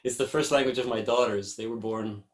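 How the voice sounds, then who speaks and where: A man, a singer-songwriter, chatting warmly and apologetically to his audience between songs. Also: medium pitch, fairly even intonation, fairly quick. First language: English. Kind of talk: live concert talk between songs. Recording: roomy with guitar music.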